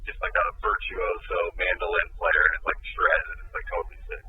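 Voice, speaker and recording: A man in his thirties, a musician guest in an interview, talking in a relaxed, thoughtful way. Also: brisk, a little hiss or hum. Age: 30s. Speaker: band member guest. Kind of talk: casual interview over the phone. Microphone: phone. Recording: tinny phone line.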